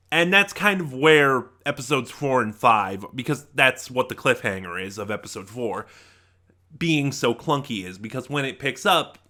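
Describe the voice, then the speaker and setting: A man giving a casual, unscripted review to viewers, halting and rambling as he often trails off mid-thought. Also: mid-range voice, sharp tone. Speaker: YouTube reviewer.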